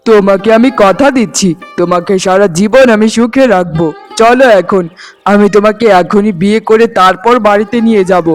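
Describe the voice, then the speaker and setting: A man's voice: high voice, a little fast, lightly acted. A man voicing an earnest, resolute young suitor in a melodramatic cartoon, speaking in solemn declarations. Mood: tender, resolute. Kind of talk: Bengali cartoon dubbing, melodrama. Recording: music behind the voice. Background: background music.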